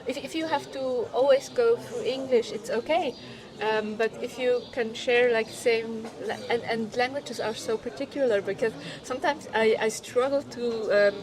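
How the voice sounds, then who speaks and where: A woman from Switzerland, a film director, talking in a casual interview, halting and restarting as she searches for words. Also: on the high side, fairly even intonation. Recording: crowd chatter behind.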